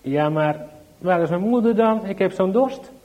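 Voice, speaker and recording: A man's voice: high voice, measured pace, highs cut off, voice pushed up. A man voicing a small, sleepy, thirsty boy who keeps pleadingly asking for his mother. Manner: pleading, repeats questions.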